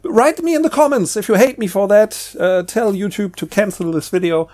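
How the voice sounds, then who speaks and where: A man with a slightly high-pitched voice talks through books casually and off the cuff. He speaks haltingly, repeating words and circling back as he rambles through his thoughts. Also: darkish tone. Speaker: book review video host. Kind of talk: casual unscripted book review.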